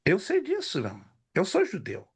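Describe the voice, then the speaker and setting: Teacher, male, somewhat high voice, animated storyteller in a relaxed class. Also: moderate pace, gravelly, husky. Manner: storytelling, animated, lists facts. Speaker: theology teacher. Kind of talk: casual class conversation.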